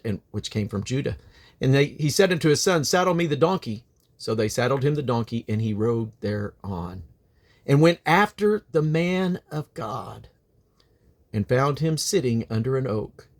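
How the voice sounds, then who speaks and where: A man reading the Bible aloud as a dramatic narrator, declaiming quoted speech with emphasis. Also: mid-range voice. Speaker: Bible reader.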